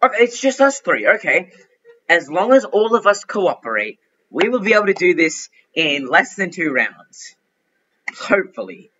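A man's voice: sits high, sing-song, moderate pace, pushed and bright, highs cut off, a little hiss or hum. A young man giving casual, chatty commentary while playing an online game, fretting and pleading aloud as he plays. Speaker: gaming YouTuber.

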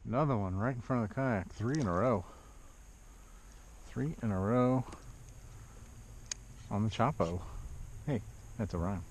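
A man's voice: on the low side, measured pace, long pauses. A middle-aged man giving casual running commentary while kayak fishing, talking in short, plain-spoken phrases. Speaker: kayak angler and video host. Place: outdoors in a kayak on a lake. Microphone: action camera mounted on body or kayak. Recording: dull muffled action-camera audio, loud outdoor noise.

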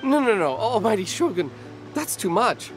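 An actor playing a polite, hesitant male shopkeeper who speaks apologetically, with clear music behind. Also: high-pitched, very animated, normal speed. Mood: apologetic. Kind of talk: game cutscene voice acting. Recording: game audio with clear music.

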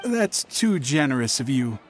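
Shifty, slow-talking young merchant. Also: male voice, medium pitch, sing-song, soft background music. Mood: awkward, covering up. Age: young adult. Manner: hesitant, stammering, evasive. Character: shifty, nervous young male traveling merchant. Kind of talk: scripted fantasy game voice acting.

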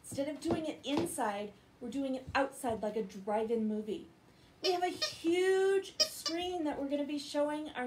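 High-voiced American woman telling bedtime stories. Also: background noise. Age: middle-aged. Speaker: children's Bible story reader. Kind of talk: bedtime story reading and talk to children. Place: living room at home, indoors.

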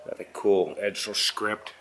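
Calm man touring a dusty barn. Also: lowish voice, slight background noise. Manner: calm, matter-of-fact describing with pauses. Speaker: vlogger filming a barn find. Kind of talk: handheld walkthrough narration. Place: inside a dusty metal barn. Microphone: handheld camera mic.

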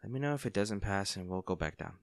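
A man's voice: low voice, lively intonation, quick pace, gravelly, no echo, clean studio sound. A man playing a kind young barista with a shy crush, speaking reassuringly and a little hesitantly in an intimate audio roleplay. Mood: caring, reassuring. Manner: soft-hearted, reassuring, hesitant. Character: kind young male barista, caring, shyly smitten. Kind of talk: intimate comfort audio roleplay, natural conversational acting.

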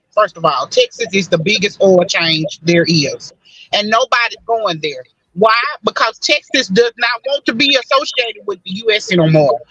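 A woman's voice: mid-range voice, a bit bright, slightly rough, no room sound, lo-fi sound. A woman in a heated political debate on a video call, emphatic and repeating her points. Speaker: panelist.

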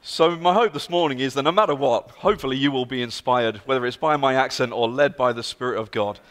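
A man's voice: medium pitch, quick pace. A man in his thirties with a London British accent, giving an earnest, storytelling guest sermon in a large church. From England. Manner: earnest, storytelling, lively emphasis. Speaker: guest speaker. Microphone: handheld and stage mic. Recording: long hall reverb.

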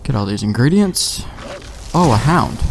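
A man's voice: medium pitch, lively intonation, unhurried, gravelly, noisy background. A man giving casual, rambling gameplay commentary, thinking aloud with some mild swearing. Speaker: let's play host. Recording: game music faintly behind. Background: faint game music.

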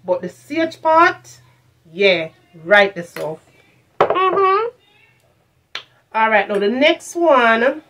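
A woman's voice: on the high side, very animated, extremely slow, pauses often, noisy background. A middle-aged woman with a Jamaican accent reviewing products in a casual vlog, slipping into patois and repeating phrases for emphasis. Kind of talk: casual chat to camera, shopping haul review. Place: furnished room at home, indoors.

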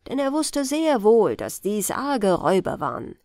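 A woman with a German accent reading a fairy tale aloud in a calm, gentle bedtime style, in a lilting storyteller manner. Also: medium pitch, a little slow, dry recording, clean studio sound. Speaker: audiobook reader.

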